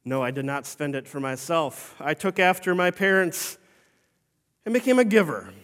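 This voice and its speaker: A man playing the saint as an elderly, humble but stern bishop, telling his own story slowly and formally in a church monologue. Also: fairly high pitch, lively intonation, fairly bright tone, long reverb tail. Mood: solemn. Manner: slow, solemn storytelling with formal phrasing. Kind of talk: first-person dramatic monologue.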